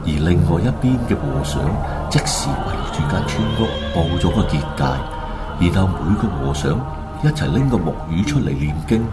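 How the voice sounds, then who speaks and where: A man's voice: low voice, expressive, normal speed, loud music behind the voice. A man with a Hong Kong Cantonese accent narrating a scripted radio drama story.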